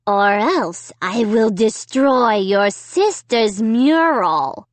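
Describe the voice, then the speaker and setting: A woman voicing a cruel, commanding living ventriloquist dummy in a children's horror audiobook, harsh, raspy and whispery, with slow, gloating menace. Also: fairly high pitch, expressive, dry recording. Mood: menacing.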